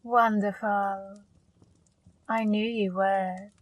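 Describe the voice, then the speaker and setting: A woman playing a flirty, controlling female demon in an ASMR roleplay, speaking slowly, softly and commandingly into a close mic. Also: mid-range voice, little pitch movement, no room sound. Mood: seductive. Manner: slow, soft, commanding.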